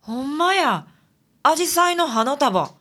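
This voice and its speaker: A woman's voice: high voice, sing-song, slow, a bit bright, full character voice. An actress playing a cheeky, outspoken female detective's assistant in a radio drama, with a Kansai accent. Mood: matter-of-fact.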